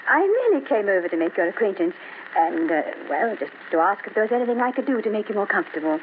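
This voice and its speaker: A woman's voice: mid-range voice, animated, quick pace, close to an everyday voice. A woman playing a polite, knowing neighbor in an old-time radio drama, composed and reserved, on a tinny recording with some noise. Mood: polite, neighborly.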